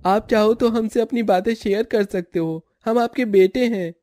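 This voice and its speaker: Grown son, played by a man, mellow, earnest and respectful, cartoon family drama. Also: high-pitched, moderate pace, slight background noise, close to an everyday voice. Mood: concerned.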